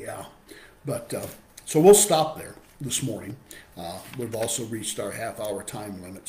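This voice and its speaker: A man in his sixties with a hoarse voice from a cold, teaching an adult Bible class on a webcam and reading scripture aloud. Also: lowish voice, darkish tone, roomy sound, a little hiss or hum. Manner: explanatory, reads scripture aloud. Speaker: Sunday school teacher. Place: home room with window. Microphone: webcam video call.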